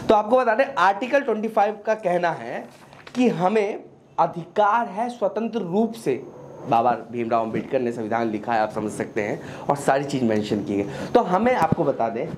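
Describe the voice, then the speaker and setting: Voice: medium pitch, a little mellow. A news presenter explains to viewers in a didactic way, posing questions and answering them. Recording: clearly noisy background.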